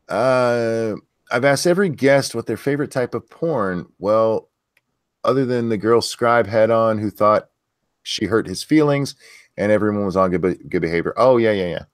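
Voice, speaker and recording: A man with a deep voice hosting a casual livestream chat, reading viewer questions in an easygoing, crude, joking way. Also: normal speed, clean tone, no room sound, studio quality.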